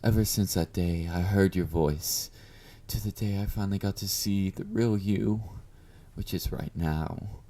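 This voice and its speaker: A man's voice: low-pitched, unhurried, warm tone. A man playing an obsessive, clingy yandere fan in an intimate roleplay monologue, speaking to the listener with doting, flirty confidence. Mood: confident, doting. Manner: doting, confident, coaxing with pet names.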